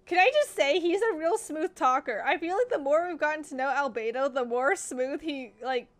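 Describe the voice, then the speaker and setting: A woman's voice: high-pitched, normal speed. A woman giving casual live commentary as a game streamer, chatty and gushing in her reactions.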